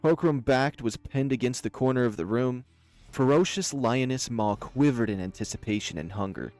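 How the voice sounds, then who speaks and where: A man's voice: mid-range voice, moderate pace, darkish tone, faint music behind. Man reading a visual novel aloud. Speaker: game streamer, narrator.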